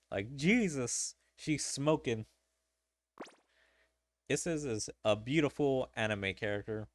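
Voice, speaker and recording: A man's voice: mid-range voice, no room sound. A man giving casual gameplay commentary as a streamer, with irreverent, joking asides. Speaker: gaming streamer. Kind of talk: casual gameplay commentary, reading story dialogue aloud. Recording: game music behind the voice. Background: video game music.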